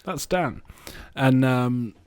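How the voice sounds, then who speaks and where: A man hosting a casual podcast, hoarse from a cold, with blunt, sweary banter. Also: mid-range voice, drawn-out words, no echo, studio quality. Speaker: podcast host. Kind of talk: casual chat.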